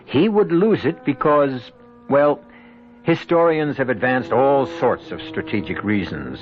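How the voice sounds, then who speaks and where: Male host for vintage radio mystery: theatrical, suspenseful, wide intonation, music bed, lo-fi sound. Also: on the low side. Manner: dramatic, deliberate, suspenseful. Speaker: radio drama host and narrator. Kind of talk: theatrical scripted narration, old-time radio.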